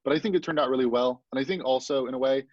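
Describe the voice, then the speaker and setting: A man with an average-pitched voice talks reflectively and a bit haltingly in a casual panel discussion. The video-call sound is close, dry and slightly dull. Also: a little mellow, no room sound. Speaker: theatre actor and deviser, panelist.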